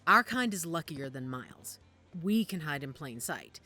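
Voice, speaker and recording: A woman playing a composed werewolf matriarch, lively intonation. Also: sits low, normal speed, faint music behind, exaggerated voice. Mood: wry, composed. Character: composed, guarded female werewolf pack matriarch. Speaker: actor in audio drama. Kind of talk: naturalistic audio drama dialogue.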